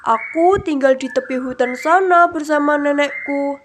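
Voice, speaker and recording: A woman's voice: sits high, moderate pace, slight background noise, lightly acted. A woman voicing an earnest, polite, lonely young village boy in a children's storybook. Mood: friendly.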